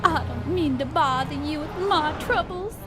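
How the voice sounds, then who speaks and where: An actress playing a distressed, apologetic wife who pleads hesitantly in an audio drama. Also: female voice, high voice, moderate pace, over music, natural voice. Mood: tearful, apologetic. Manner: plaintive, hesitant.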